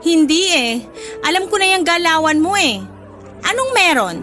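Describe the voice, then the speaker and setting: A woman's voice: very high voice, animated, normal speed, sharp tone, soft background music, exaggerated voice. A woman playing a hurt, suspicious, clingy young girlfriend in a Tagalog radio drama, emotional and confrontational.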